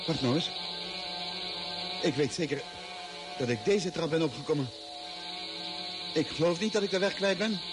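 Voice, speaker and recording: An actor playing a bewildered, agitated astronaut lost on a strange planet, halting and questioning, in a Dutch radio drama. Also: male voice, a little high, over music, lightly acted, low-quality recording. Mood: confused, agitated.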